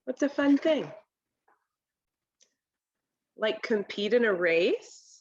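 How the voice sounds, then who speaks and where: Slow, higher-pitched woman reading to children. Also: lively intonation, dull audio, slight background noise. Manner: deliberate, instructional, asks the listener questions. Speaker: read-aloud presenter for children. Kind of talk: children's picture book read-aloud with commentary. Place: home kitchen, indoors.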